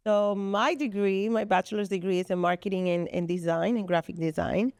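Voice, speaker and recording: Woman on a podcast, smooth clear voice, dry close sound, talks earnestly with lots of ums. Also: mid-range voice, fairly even intonation, fairly quick, darkish tone, no room sound. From Dominican Republic. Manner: earnest, explains at length with fillers. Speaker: guest, co-founder of a business space. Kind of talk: podcast interview.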